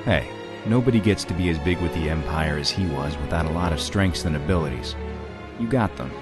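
Man playing a low-voiced, wry rogue. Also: lively intonation, brisk. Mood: casual, reassuring. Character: casual roguish man, teasing yet reassuring lover. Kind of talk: audio drama acting. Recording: loud music over the voice.

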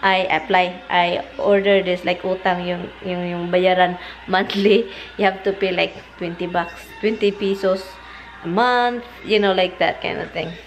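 A woman's voice: fairly low pitch, little pitch movement, unhurried, over music. A woman in her thirties from the Philippines with a Filipino accent, chatting casually in a vlog, rambling and slipping in Filipino words, filmed on a camera held at arm's length at home and in her car. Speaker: vlogger. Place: home, then inside a car. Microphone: handheld camera at arm's length.

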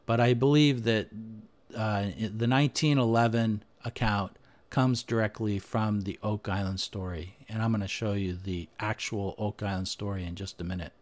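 A man with a fairly low voice walks through documents on his screen, talking them through in an unscripted way. He pauses often, says "uh", and restarts his sentences as he goes. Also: fairly even intonation. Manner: unscripted, thinking aloud with frequent "uh" and restarts. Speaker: history podcaster. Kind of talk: screen-share presentation, talking over slides.

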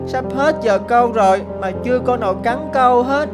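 Casting: woman as young boy, children's cartoon, eager, impatient, higher register. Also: brisk, over music, close to an everyday voice. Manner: childlike, polite.

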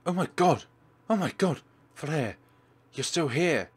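A man voicing an earnest, excitable high school girl in a visual novel. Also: slow pace, slightly rough, full character voice. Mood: excited.

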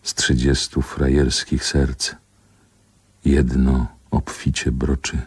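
An actor playing a brooding grown man who lyrically recalls a rough city childhood, with measured, deliberate phrasing in a poetic radio drama. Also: very deep voice, level tone, no room sound, heavily acted. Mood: ominous, lyrical. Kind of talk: poetic radio play monologue. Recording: music under the voice.

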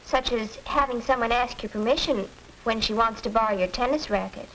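An actress playing a pert, put-upon American teenage daughter in a 1940s educational film, with an earnest, slightly stagey delivery. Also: female voice, fairly high pitch, brisk, fairly bright tone. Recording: noisy, crackly 1940s film soundtrack.

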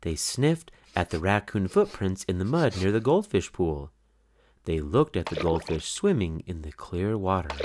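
Very low-pitched storybook narrator. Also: darkish tone, slight background noise. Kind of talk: scripted children's read-aloud narration.

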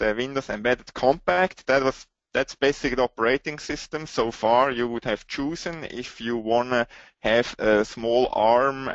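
A man with a Swiss accent walks through a technical webinar, pausing often on "uh" between phrases. His voice sits at a middling pitch. The sound is dry and a little dull, as over a computer mic. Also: a bit bright, dry recording. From Switzerland. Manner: halting, with frequent "uh" fillers. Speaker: webinar presenter.

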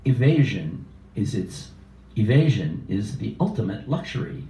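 A man in his sixties reading poetry live at an open mic in a measured, deliberate way, with casual asides. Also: on the low side, very animated, mellow. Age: 60s. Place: small indoor cafe open mic. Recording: roomy, distant cafe live stream with noise.

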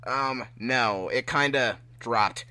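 A man's voice: medium pitch, takes it slowly, sharp tone. A man voicing a cocky, indignant young hedgehog hero in a fan-fiction reading, casual and complaining. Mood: uneasy.

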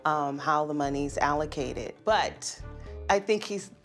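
Brief: middle-aged woman, lowish lively voice, wry and exasperated, music bed. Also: measured pace. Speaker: reality show subject, wife. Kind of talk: reality TV interview. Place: indoors, home living room. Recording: background music.

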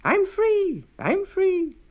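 A man's voice: very high voice, huge pitch swings, extremely slow, pitched above the performer's own voice, exaggerated voice. A man voicing a tiny, cheeky gingerbread boy in a children's storybook, calling out in a sing-song way. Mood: gleeful taunting.

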